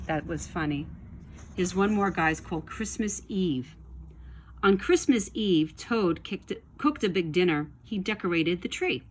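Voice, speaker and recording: A woman reading a children's story aloud with expressive narration. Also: lowish voice, measured pace, a little hiss or hum. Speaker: storybook reader.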